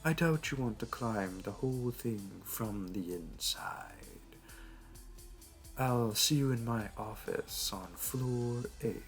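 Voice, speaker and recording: A man playing a smug, flirty supervillain in an audio roleplay, talking in a teasing, theatrical, unhurried way. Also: mid-range voice, lively intonation. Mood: playful, teasing. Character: smug, flirty male supervillain hosting a hero in his lair. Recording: music behind. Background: background music.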